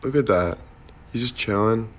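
A man's voice: on the low side, moderate pace. A laid-back young man in casual, slangy livestream chat, speaking into a phone indoors. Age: young adult. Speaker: livestream host. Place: indoor room by a window. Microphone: phone at arm's length. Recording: phone livestream with background noise.

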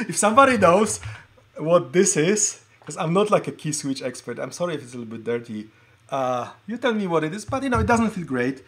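Middle aged guy from poland, voice a bit on the high side, chatty livestream show and tell, kinda halting and cuts himself off. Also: very animated, a bit bright. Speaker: livestream host showing collected keyboards. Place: indoor room at a desk.

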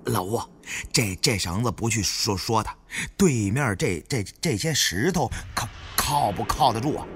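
A man's voice: on the low side, expressive, moderate pace, fairly bright tone, slight background noise. A man voicing a jittery young male tomb raider with a heavy stutter in an audiobook. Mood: relieved, doubtful.

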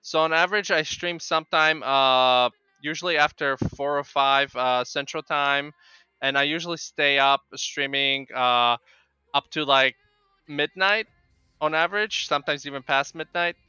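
A middle-aged man with a Polish accent chats casually with viewers while gaming, in a halting way that often repeats words. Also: on the high side, pushed and bright, smooth, no echo. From Poland. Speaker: game streamer. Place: small home room with bookshelves. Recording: dull, lo-fi microphone sound.

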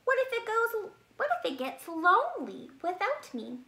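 A woman's voice: very high-pitched, sing-song, normal speed, sharp tone, pitched above the performer's own voice, exaggerated voice. A woman voicing an earnest, stubborn little boy bear cub, firm and self-important in a childlike way, in a storybook. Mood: impatient, curious.

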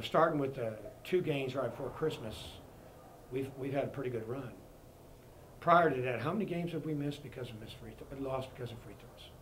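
A man's voice: mid-range voice, poor audio. A middle-aged man speaks as a basketball coach at a postgame press conference, seated before a fixed camera. He talks frankly and plainly in a mid-range voice, restarting and repeating words as he goes. A faint hiss sits under him. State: disappointed. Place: press conference room before a sponsor backdrop.

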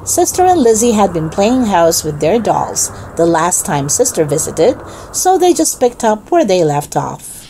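A woman narrating a children's storybook as a read-aloud host, with expressive, deliberate delivery for young listeners. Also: mid-range voice, slight background noise, low-quality recording.

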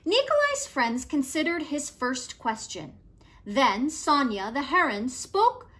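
A woman in her forties reading a children's story aloud as a teacher would, with expressive, deliberate phrasing. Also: high voice. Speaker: teacher reading stories to pupils. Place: room at home, indoors.

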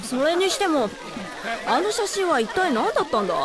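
A woman playing a gruff, easily flustered tomboyish teenage girl in an anime audio drama. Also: very high voice, very animated, moderate pace, a crowd in the background. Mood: puzzled, gruff.

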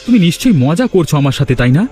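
A man's voice: fairly high pitch, very animated, very fast, dark tone. A man voicing a devoted, protective young son in a fairy-tale cartoon, questioning eagerly and offering reassurance. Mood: surprised. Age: young adult. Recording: music behind the voice.

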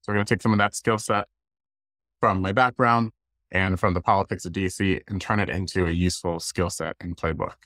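American male speaker, thirties, lower register, conversational presenter, very dry recording. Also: steady tone, fairly quick, a bit bright, dry recording. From Washington, D.C., United States. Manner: casual, conversational. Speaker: guest speaker, design leader. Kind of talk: informal online presentation. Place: indoors, plain room on a video call.

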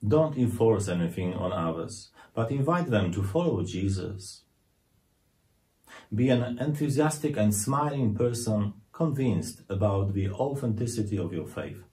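Slow, low-voiced priest preaching. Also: male voice, animated, dark tone. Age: middle-aged. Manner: measured, deliberate, earnest. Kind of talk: scripted homily to camera.

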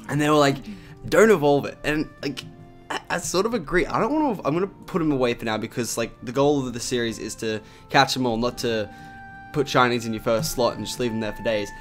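A young man with an Australian accent, his voice raspy from just waking up, chatting casually over gameplay with lots of fillers. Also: medium pitch, a little fast, soft background music. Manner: chatty, rambling with many "like" and "um" fillers. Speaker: gaming YouTuber.

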